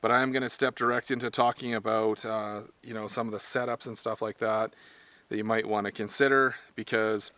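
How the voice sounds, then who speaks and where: A man with a hoarse voice from smoke, talking casually to camera about gear he sells, rambling and correcting himself as he goes. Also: lowish voice, fairly even intonation, fairly quick, pushed and bright, no room sound. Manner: rambling, self-correcting, plain-spoken. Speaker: firearms gear seller and trainer. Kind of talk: casual product talk to camera.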